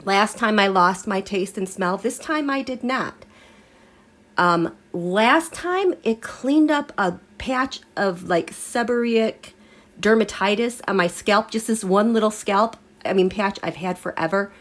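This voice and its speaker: A woman's voice: mid-range voice, measured pace. A woman hosting a live tarot and astrology stream, chatting casually with a congested voice as she recovers from illness. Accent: American. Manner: chatty, rambling, animated asides. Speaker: tarot and astrology livestream host.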